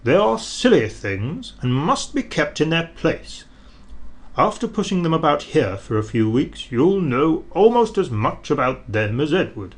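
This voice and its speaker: A man's voice: on the high side, sing-song, voice pushed up. A man doing a stern, kindly railway boss. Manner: stern, measured, then kindly. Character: stern but kindly portly railway director, older man.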